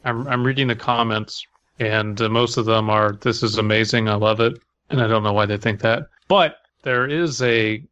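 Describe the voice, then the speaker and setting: A man's voice: fairly low pitch, steady tone, moderate pace, a bit bright, smooth, no room sound, highs cut off. A man co-hosting a podcast, chatting casually and reading aloud with a sardonic edge. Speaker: podcast co-host.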